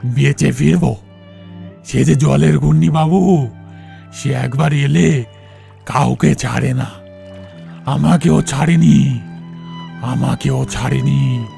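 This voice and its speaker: A man plays a mysterious boatman's ghost from a cartoon horror tale, with a heavily gravelled, dark voice. He is fatalistic and evasive, humbly rustic in speech, repeating his phrases over faint music. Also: mid-range voice, takes it slowly, pauses often. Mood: evasive, eerie. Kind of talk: Bengali animated ghost story acting. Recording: music under the voice.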